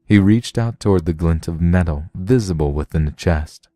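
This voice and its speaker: A man's voice: low-pitched, normal speed, dark tone, no room sound. A man narrating a science fiction story as a scripted audiobook reading.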